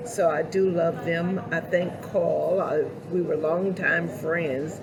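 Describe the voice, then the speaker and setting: An older woman with a hoarse voice, speaking earnestly and reflectively as a guest in a conversational interview. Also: lowish voice, fairly even intonation, slow pace, a crowd in the background, rough recording. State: hoarse voice after illness. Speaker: podcast guest, community leader.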